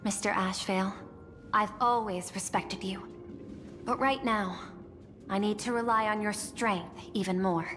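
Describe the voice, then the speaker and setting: An actress playing a machine-minded corporate chief in a video game, speaking in a measured, formal, detached manner. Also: female voice, medium pitch, steady tone, natural voice. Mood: grave, formal. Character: composed, calculating female machine-minded corporate chief executive. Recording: long reverb, faint music behind.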